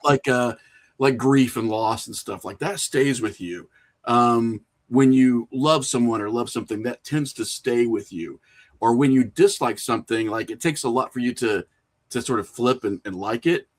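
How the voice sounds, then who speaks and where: A man's voice: medium pitch, moderate pace. Reflective middle-aged man chatting informally. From small rural town. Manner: informal, anecdotal, chuckles through remarks. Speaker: podcast guest, horror filmmaker and writer. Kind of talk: casual, reflective conversational interview.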